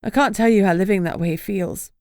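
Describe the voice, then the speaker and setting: A woman's voice: medium pitch, fairly quick, a little mellow, no echo, clean studio sound, close to an everyday voice. A woman voicing a shaken young British woman who speaks with earnest, trembling resolve. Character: shaken young woman facing her fears.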